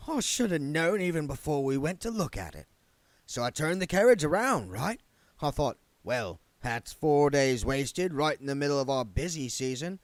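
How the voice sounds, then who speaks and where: A man's voice: fairly high pitch, sing-song, normal speed, no echo, voice pushed up. A man voicing a blunt, gloomy older working-class man, a reluctant vampire count, in a book read aloud. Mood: grumbling.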